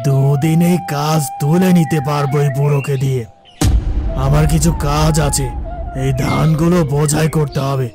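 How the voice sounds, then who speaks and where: A man voicing high, scornful, stingy village moneylender. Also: steady tone, moderate pace, lightly acted. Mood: scheming. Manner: curt, scornful, domineering. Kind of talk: cartoon folk-tale acting. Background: music.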